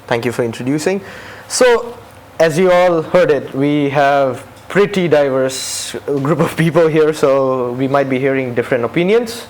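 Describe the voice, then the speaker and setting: A man's voice: a little high, measured pace, hardly any pauses. A young man hosting a casual student interview, friendly and inviting with short prompts to his guests. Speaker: host.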